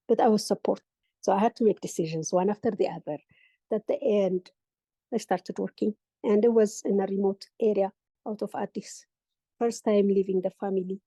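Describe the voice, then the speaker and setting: A woman's voice: medium pitch, warm tone, no echo. A middle-aged woman from Ethiopia, a guest telling her life story in an interview, earnest and reflective. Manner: earnest, reflective, retells with quoted dialogue. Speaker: coffee business manager, guest.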